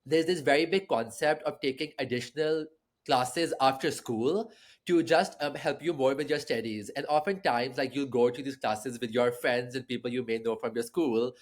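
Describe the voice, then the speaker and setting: A man's voice: mid-range voice, moderate pace. Chatty young male podcast host. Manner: friendly, chatty, many likes. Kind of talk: casual podcast chat.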